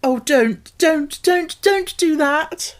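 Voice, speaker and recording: A woman voicing an earnest, excitable young girl who pleads with breathless, tumbling words. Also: high voice, takes it slowly, pitched above the performer's own voice. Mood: distressed, pleading.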